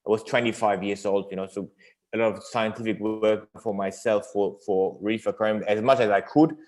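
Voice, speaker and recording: A man, answering interview questions in halting, English and searching for words. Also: lowish voice, fairly even intonation, a little mellow. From Iran until age six, then Germany. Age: 30s. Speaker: company owner being interviewed.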